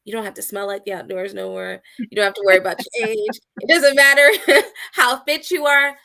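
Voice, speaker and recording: A woman speaks with eager enthusiasm as an interview guest, her tone bright and forward. She leans hard on key words, then drops to softer, quieter phrases. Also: on the high side, fairly even intonation, a little fast, creaky. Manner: enthusiastic, emphatic, swings loud and soft. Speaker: guest, outdoor community organizer. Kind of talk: casual interview chat.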